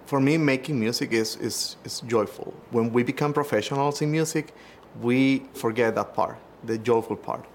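A young adult man from Venezuela with a Venezuelan Spanish accent, a conductor speaking earnestly and with measured hesitations about music education. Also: mid-range voice, a little hiss or hum. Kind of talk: reflective personal testimony. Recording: interview over clear music. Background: instrumental music.

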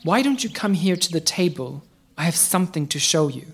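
A man's voice: fairly high pitch, expressive, brisk, fairly bright tone, breathy edge, exaggerated voice. A man playing an ambitious, demanding young peasant boy in a folktale radio play. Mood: eager. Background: music.

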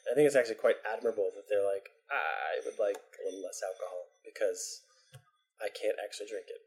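A man's voice: lowish voice, steady tone, quick pace. A man talking casually in an interview, hesitant and rambling with frequent laughs. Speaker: bar owner interviewee. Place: bar.

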